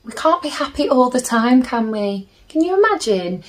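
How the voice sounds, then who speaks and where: A woman in her thirties reading a picture book aloud to children in a gentle, deliberate manner, with chatty asides. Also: a little high, sing-song. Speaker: children's story reader. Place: small plain room indoors.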